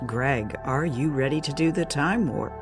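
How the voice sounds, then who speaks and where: Slow-paced female show host. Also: very deep voice. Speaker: podcast host. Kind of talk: scripted show introduction. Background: music.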